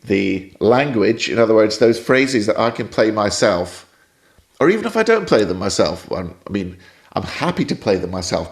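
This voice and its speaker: Man, British accent, low-ish voice, jazz teacher, interview guest, explanatory, digressive. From Scotland. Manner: explanatory, thoughtful, prone to tangents. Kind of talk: podcast interview answers.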